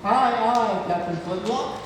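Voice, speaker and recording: A man's voice: sits high, takes it slowly. A man at a play table read, playing a wry, playful old grandfather who is frail but feisty. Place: large indoor theatre room, far microphone. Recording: distant, echoey hall, noisy room recording.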